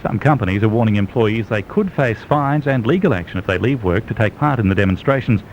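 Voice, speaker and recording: Australian man, newsreader, fast delivery, lowish voice, dull lo-fi radio with faint noise. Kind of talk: radio news bulletin.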